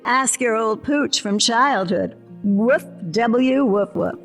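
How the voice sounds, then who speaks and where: Jive-talking cat turned lady woman acting, high-voiced, unhurried, drawling and sassy, music underneath. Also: fairly bright tone. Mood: proud, playful. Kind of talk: audio play acting.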